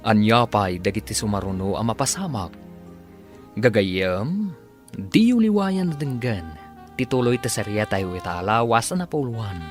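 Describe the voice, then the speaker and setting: Animated male drama announcer over music. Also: fairly low pitch, unhurried. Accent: Ilocano.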